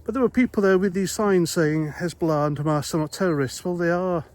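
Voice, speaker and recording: A man's voice: high-pitched, a little fast, a little mellow. Blunt Welsh man ranting to camera. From Wales. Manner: blunt, conversational, rhetorical questions. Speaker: vlogger, ex-army veteran. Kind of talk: opinionated monologue to camera.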